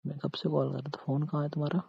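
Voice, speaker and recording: A man playing a teasing, romantic, mildly demanding husband in a casual, coaxing at-home conversation, with a husky voice. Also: a little high, flat delivery, very fast, mellow, dry recording, heavily acted. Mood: insistent, complaining. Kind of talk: intimate Hindi role-played couple conversation. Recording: muffled, lo-fi, dry call-style recording.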